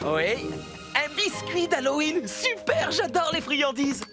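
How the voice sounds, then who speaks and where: Friendly, practical cartoon builder. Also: male voice, very high-pitched, very animated, slow, full character voice. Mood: delighted. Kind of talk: French children's cartoon dub. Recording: loud music behind voice.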